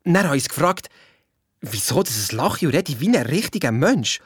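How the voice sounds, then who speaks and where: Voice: mid-range voice, very animated, normal speed, breathy edge, no echo, clean studio sound. An actor playing Luiz's part as a young schoolchild detective, with a Swiss German accent, retelling adventures colloquially and acting out what others said. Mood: amazed, confiding. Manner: colloquial, acts out quoted speech. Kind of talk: children's radio play, colloquial first-person retelling.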